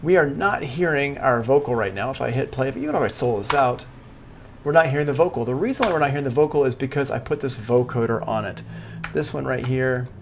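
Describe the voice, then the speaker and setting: A man teaching music production in a live software tutorial, talking casually and thinking aloud as he works. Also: medium pitch, fast talker, gravelly, background noise. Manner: casual, informal. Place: indoors, livestream class.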